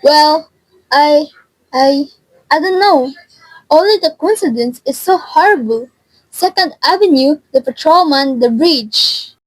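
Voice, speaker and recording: A woman's voice: high-pitched, lively intonation, slow, no room sound, poor audio. A teenage girl playing an anxious, demanding, self-pitying bedridden wife in a radio suspense drama, insistent and stammering. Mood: flustered, fearful.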